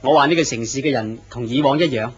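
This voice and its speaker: An earnest, courteous office man, voiced by a man in an old-style horror radio play. His voice is mid-pitched and plain. The recording sounds dull and lo-fi. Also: fast talker, husky, close to an everyday voice. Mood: polite. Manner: courteous and earnest, turns startled when threatened. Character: polite, earnest office man, a deputy manager. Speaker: actor in a horror radio drama. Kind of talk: radio drama acting.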